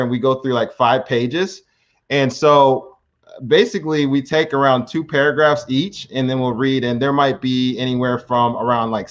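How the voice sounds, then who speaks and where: A man's voice: medium pitch, lo-fi sound. An American man chatting casually on a live stream, earnest and rambling, with frequent restarts. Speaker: language learner vlogger. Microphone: computer mic on live stream.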